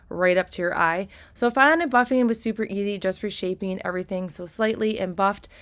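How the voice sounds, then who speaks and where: A young woman with a slightly raspy voice, chatting enthusiastically through a nail tutorial voice-over. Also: medium pitch. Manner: enthusiastic, chatty, lots of "like" and "kinda".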